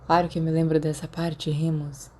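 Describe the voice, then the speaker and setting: A woman's voice: low voice, level tone, moderate pace, darkish tone, slight background noise, natural voice. A woman voicing a tipsy young man in an audiobook, rueful and bitter as he rambles in slang. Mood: flippant. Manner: slangy, self-deprecating, drunken rambling. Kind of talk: audiobook character dialogue.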